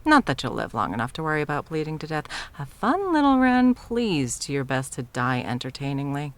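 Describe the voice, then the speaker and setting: A woman's voice: low voice, sing-song, brisk, a little hiss or hum. A woman voicing an arrogant, undying sorceress tyrant in an ASMR roleplay, taunting, theatrical and condescending. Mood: condescending menace. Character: arrogant undying sorceress tyrant, mocking, easily bored, playful. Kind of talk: ASMR roleplay audio drama.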